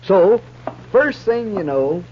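On a dull, faintly noisy vintage radio recording, a smug small-town newspaperman of the Old West. He is folksy, boastful and eager, his pitch bouncing up and down with relish. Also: very animated, slow pace, exaggerated voice. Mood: proud, eager. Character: gleeful, self-satisfied small-town frontier newspaperman. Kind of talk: 1950s radio western acting.

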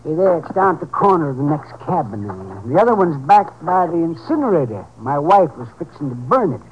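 An actor playing a chatty, nosy older American motel owner in a 1950s radio drama, rambling in a folksy, hesitant way. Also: male voice, a little high, very animated, brisk. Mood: chatty, eager. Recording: muffled, noisy 1950s radio recording.

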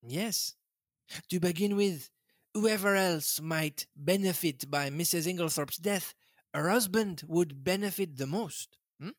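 A man's voice: sits high, a little slow, no echo, pitched above the performer's own voice. Man playing fussy old detective, put-on French accent, coaxing hmms, dry sound. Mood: patient. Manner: coaxing, ends lines with a questioning hmm. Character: fussy elderly male Belgian detective.

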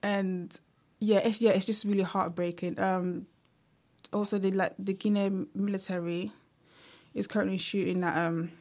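A young woman talking straight to the camera in a casual, earnest way. Her pitch sits in an ordinary middle range, and she leans on "like" and "you know" as she searches for words. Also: level tone, normal speed, a little mellow. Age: young adult. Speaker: vlogger.

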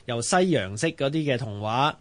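A man's voice: medium pitch, lively intonation, normal speed, no echo. A man with a Hong Kong Cantonese accent hosting and moderating a casual radio talk show.

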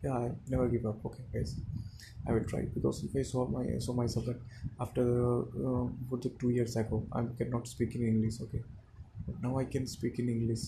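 A young man from India with an Indian accent and Hindi as his first language, speaking in halting, non-fluent English full of fillers in a personal monologue to his listeners. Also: medium pitch, flat delivery, gravelly, noisy background. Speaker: audio diary speaker.

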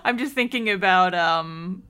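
A woman co-hosting a podcast, reacting with casual, playful banter and quick interjections. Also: lowish voice, bright, forceful tone, clear, resonant tone. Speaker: podcast co-host.

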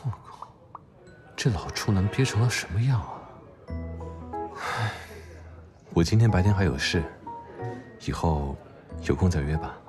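A cool young doctor in an audio drama. His voice sits low with lively swings in intonation. He sounds blunt and drily exasperated, teasing gruffly, with music underneath. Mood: exasperated, dry. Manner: dry, blunt, exasperated, unhurried. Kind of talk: Chinese audio drama acting.

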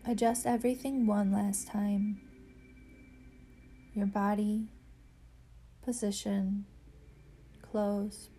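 Female meditation guide, slow and measured, soothing instructional read with little pitch movement. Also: level tone, mellow, slight background noise. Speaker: yoga nidra meditation guide and podcast host.

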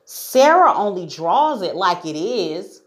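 Woman voicing outspoken jungle animals, pitched high. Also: big pitch swings, slow pace. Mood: defiant. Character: chorus of outspoken jungle animals scolding boldly. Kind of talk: children's storybook character voice.

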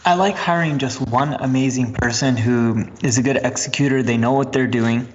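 A man's voice: medium pitch, moderate pace, husky. A man co-hosting a business podcast, giving direct, matter-of-fact advice drawn from his own practice. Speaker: podcast co-host. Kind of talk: casual business podcast chat. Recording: dull, lo-fi recording.

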